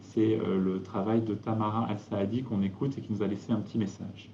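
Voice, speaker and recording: A man presenting a theatre season live, halting with many fillers and restarts. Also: fairly low pitch, level tone, mellow, a little hiss or hum. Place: live video call. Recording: dull lo-fi roomy video-call audio.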